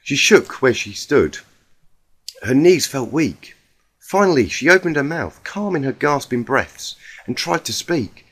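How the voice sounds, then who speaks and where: A man's voice: mid-range voice, sing-song, unhurried, fairly bright tone. A man reading a scripted story aloud as a narrator, in a dramatic storytelling style. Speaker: fan fiction reader.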